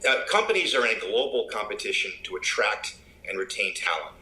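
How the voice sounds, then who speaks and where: A man giving business interview soundbites as a recruiter, in a halting way with repeated words. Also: lowish voice, very animated, pushed and bright, echoey room, rough recording.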